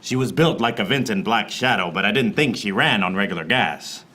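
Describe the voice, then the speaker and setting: An actor playing a wisecracking, world-weary private detective in a film noir radio play parody, with a deadpan delivery. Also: male voice, fairly low pitch, fairly quick, breathy edge, exaggerated voice. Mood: deadpan, brooding. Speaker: actor in lead role and narrator. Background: music behind the voice.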